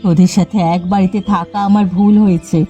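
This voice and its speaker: A woman's voice: mid-range voice, steady tone, fast talker, mellow, lightly acted. A woman voicing an upright eldest village sister in a cartoon, stern and admonishing, weary of her siblings. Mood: exasperated. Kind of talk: cartoon dialogue. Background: faint music.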